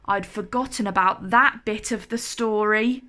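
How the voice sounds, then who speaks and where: A woman voicing an earnest, excitable, adventurous young boy in a children's fairytale. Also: mid-range voice, unhurried. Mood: nervous.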